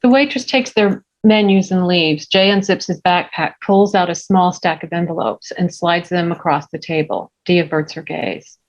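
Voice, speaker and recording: Female narrator for stage directions, somewhat low-pitched, scripted read. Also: normal speed, hardly any pauses, highs cut off.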